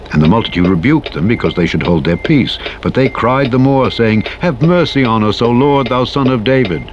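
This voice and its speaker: Clear vehicle engine noise runs behind a man with a fairly low voice. He reads scripture as a narrator, in a solemn and measured way, with archaic, formal phrasing. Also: muffled recording. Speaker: Bible narrator. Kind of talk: scripture reading. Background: truck engine noise from a driving game.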